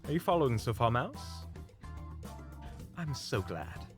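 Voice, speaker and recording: A man's voice: mid-range voice, sing-song, a little slow, music in the background, pitched above the performer's own voice. Man acting a courteous, methodical hunter leader. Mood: businesslike, polite. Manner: polite, precise, methodical. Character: courteous, measured male hunter leader, calm and caring. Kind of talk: audio roleplay acting addressed to the listener.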